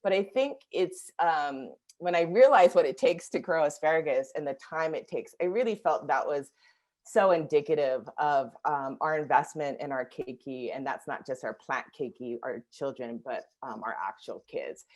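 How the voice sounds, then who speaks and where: Low-voiced woman, reflective webinar speaker. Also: darkish tone. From Washington, D.C. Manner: reflective, halting with many fillers. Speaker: co-presenter. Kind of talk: webinar discussion.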